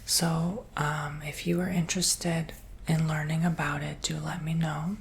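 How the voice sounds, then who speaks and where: Slow whispering man acting as a robot salesman. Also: on the high side, flat delivery, slight background noise. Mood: soft, reassuring. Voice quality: breathy whisper. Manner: slow, gentle, reassuring sales patter. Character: soft-spoken, earnest male robot salesperson. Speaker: ASMR roleplay performer. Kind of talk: whispered ASMR roleplay.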